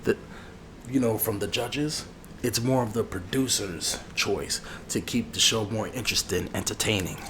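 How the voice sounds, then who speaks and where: Man playing a low-voiced, grumbling ASMR barber. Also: natural voice. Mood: chatty, confiding. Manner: grumbling, repeats phrases, drawn-out asides. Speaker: ASMR role-play creator. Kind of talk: ASMR role-play, casual chat to the listener. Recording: clearly noisy background ambience.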